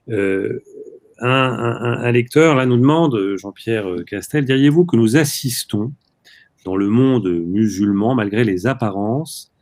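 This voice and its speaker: A man's voice: lowish voice, darkish tone, dry recording. A man interviewing a writer, asking long questions with many hesitations. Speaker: interviewer, journalist.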